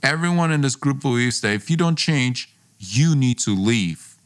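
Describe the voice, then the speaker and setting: A man acts out a domineering young man in a podcast story. He talks in a bossy, scornful way, full of his own importance and quick to belittle. The voice sits at an ordinary male pitch. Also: animated, lightly acted. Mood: scornful, harsh. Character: domineering, boastful, scornful young man, a former best friend. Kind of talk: acted dialogue in a storytelling podcast.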